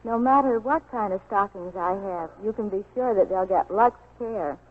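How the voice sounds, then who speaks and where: Young woman, husky, mid pitch, scripted testimonial, tinny vintage radio. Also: normal speed. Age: young adult. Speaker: interview guest and testimonial speaker. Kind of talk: scripted 1940s radio advertising interview. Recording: tinny, lo-fi 1940s radio recording.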